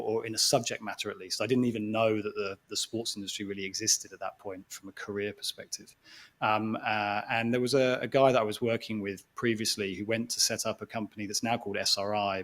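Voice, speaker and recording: Exec, 40s, flat and steady delivery, hesitant, conversational talk to a crowd. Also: male voice, on the low side, fairly quick. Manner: reflective, hesitant, restarts phrases. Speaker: guest speaker, sports executive. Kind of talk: conversational talk to a live audience.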